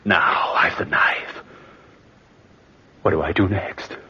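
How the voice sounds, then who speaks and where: A man playing an anxious, obsessed murderous nephew with a husky, breathy, furtive delivery in 1940s radio horror style. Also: low-pitched, fairly even intonation, takes it slowly, full character voice. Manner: tense, hushed muttering to himself. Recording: dull 1940s radio recording.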